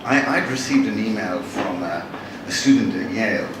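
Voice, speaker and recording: A man, a novelist giving a live talk to an audience in a wry, chatty, halting way, with frequent restarts. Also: lowish voice. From born in Bangladesh, raised in London. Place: large hall with audience. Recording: echoey hall from far off, noisy.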